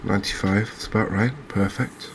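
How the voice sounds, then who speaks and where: A man with a low voice and very level intonation talks through a game in a cozy ASMR style. He takes his time, thinking aloud and leaving pauses. Light ambient noise sits behind the voice. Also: steady tone. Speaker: gaming video host. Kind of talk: unscripted, quiet gameplay commentary in a cozy ASMR vein.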